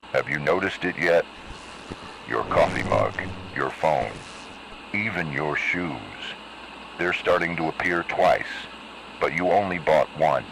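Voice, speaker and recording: Guy doing a super deep gravelly analog horror warning narrator, grave n measured, noisy tape sound. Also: full character voice. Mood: grave, ominous. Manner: ominous, measured, deliberate phrasing. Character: grave male narrator of eerie warning tapes.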